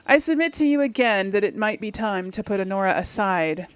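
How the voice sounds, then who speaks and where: A woman's voice: medium pitch, lively intonation, brisk, smooth. A woman hosting a game series, chatting casually as she thinks aloud and reads aloud to her viewers. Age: 30s or older. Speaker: game streamer, role-play series host.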